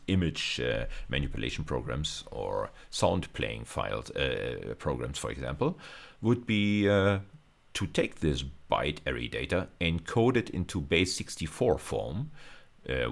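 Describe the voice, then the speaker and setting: A man's voice: rumbling low, creaky. A man giving an explanatory technical lecture as a university teacher, working step by step with hesitant repetitions.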